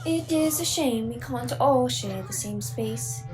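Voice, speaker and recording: A woman's voice: fairly high pitch, lively intonation, a little slow, darkish tone, soft background music. A teenage girl playing a polite but menacing alien in human guise in a radio play. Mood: polite menace.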